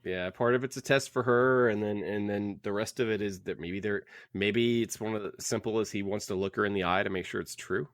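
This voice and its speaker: A man's voice: fairly low pitch, steady tone, fairly quick, hardly any pauses, no echo. A man co-hosting a book podcast in casual discussion, opinionated and circling back over his phrases as he talks. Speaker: podcast co-host.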